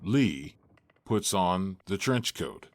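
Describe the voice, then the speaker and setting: Deep, slow male narrator. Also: sing-song, no echo. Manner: detached, matter-of-fact. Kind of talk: scripted narration of stage directions.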